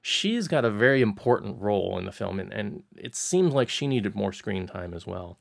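A man reviewing a film in casual chat as a podcast co-host, halting and restarting often. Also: lowish voice, animated, gravelly, dry recording, studio quality.

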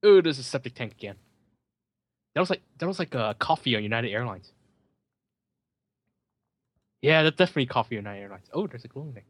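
A man in a dry, close recording talks casually over a movie. His delivery is joking and sardonic, halting now and then as he repeats words. His pitch sits in an ordinary male range. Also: animated, no echo. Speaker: film commentary podcast host. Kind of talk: casual chat, running movie commentary.

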